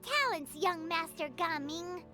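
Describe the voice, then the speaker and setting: Tiny squeaky game fairy, super high, bouncy n cheeky, music behind. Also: female voice, expressive, measured pace. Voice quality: squeaky, childlike. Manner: speaks of herself in third person, exclamatory. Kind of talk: English video game voice acting.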